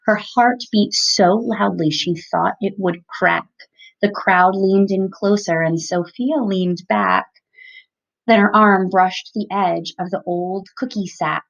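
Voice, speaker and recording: A woman reading a picture book aloud expressively and clearly, as a parent coaching a young reader. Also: on the low side. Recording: muffled lo-fi home recording.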